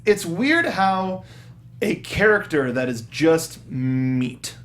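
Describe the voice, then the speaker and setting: A man's voice: a little high, very animated, slow pace, a bit bright. A man co-hosting a casual discussion show, speaking in an enthusiastic, emphatic way. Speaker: talk show co-host.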